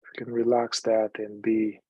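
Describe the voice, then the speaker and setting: A man leading a guided meditation in a calm, unhurried manner, leaving long pauses between phrases. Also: lowish voice, husky, dry recording, muffled recording.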